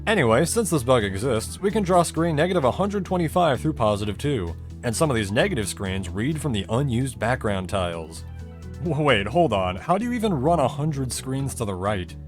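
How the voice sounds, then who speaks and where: A man's voice: mid-range voice, very animated, normal speed. A man giving a scripted, explanatory video narration in a conversational way, with dry asides and rhetorical questions. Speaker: video creator narrating a technical game explainer. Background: music bed under the voice-over.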